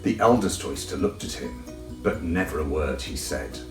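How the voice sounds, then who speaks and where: A man narrating a children's storybook in an expressive, theatrical way. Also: rumbling low, moderate pace, echoey room, recorded from a distance, over music. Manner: theatrical, animated. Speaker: audiobook reader.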